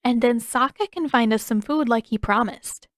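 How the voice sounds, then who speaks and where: A woman's voice: a little high, moderate pace, no echo, studio quality, pitched above the performer's own voice, natural voice. A woman voicing a stubborn, teasing teenage girl who bickers sarcastically in an audiobook story. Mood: prodding.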